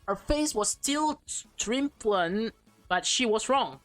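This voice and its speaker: A woman's voice: a little high, expressive, slow pace, faint music behind. A woman reading a visual novel aloud as a let's player, stumbling over and repeating words, with slangy, casual reactions.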